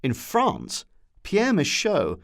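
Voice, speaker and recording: A man's voice: fairly high pitch, wildly swooping pitch, slow pace, gravelly. A man delivering scripted documentary narration as a storyteller with wry asides.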